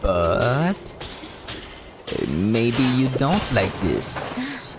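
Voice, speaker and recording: A man giving casual, halting and rambling live commentary as a video game streamer, often repeating words. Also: medium pitch, huge pitch swings, music in the background.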